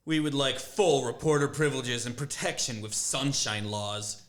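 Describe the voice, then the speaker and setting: A man's voice: mid-range voice, moderate pace, natural voice. A man voicing a prim, inquisitive young journalism student who makes puns. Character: young male journalism student, nosy and pun-loving.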